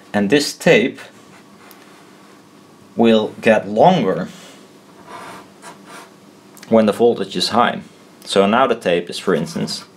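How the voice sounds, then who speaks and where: Guy with a lowish voice explaining his diy thing at a desk, kinda halting, thinks out loud, blunt and casual. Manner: halting, self-doubting, trailing off and correcting himself. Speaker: maker explaining a DIY build. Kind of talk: informal hands-on explainer, thinking aloud. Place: indoors at a desk.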